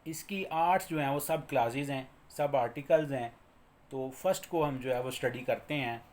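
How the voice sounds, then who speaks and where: Man, Pakistani accent, medium pitch, informal law lecturer, explanatory, repeats and rephrases, mixes in English terms. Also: normal speed, a little mellow.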